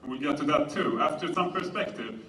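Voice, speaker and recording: Bright-voiced Swedish man lecturing in roomy space. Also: a little high, animated, brisk. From Sweden. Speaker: conference speaker. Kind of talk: public presentation lecture. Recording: roomy, distant mic, faint noise.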